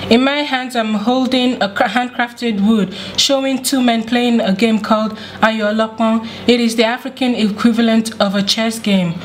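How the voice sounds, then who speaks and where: A woman's voice: on the high side, steady tone, moderate pace, hardly any pauses, a little hiss or hum. A teenage girl presenting in a school library, chatty and friendly with small slips. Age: teens. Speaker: student presenter. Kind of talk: casual school film presentation.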